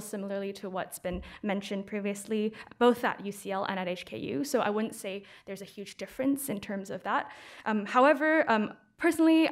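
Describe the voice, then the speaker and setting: A young woman from Hong Kong speaks in a fairly high voice as a student presenter. She explains things in an informative way to an audience, pausing often with ums as she goes. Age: 20s. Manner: informative, hesitant with frequent um pauses. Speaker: law student speaker at an admissions talk. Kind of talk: semi-prepared presentation to an audience. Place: lecture hall, indoors.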